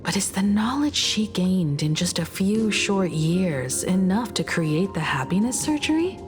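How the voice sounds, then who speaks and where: A woman playing a poised, gentle, scholarly young woman in a video game, speaking in a measured, articulate, reflective way. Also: mid-range voice, very animated, over music. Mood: thoughtful, analytical. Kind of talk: video game story dialogue.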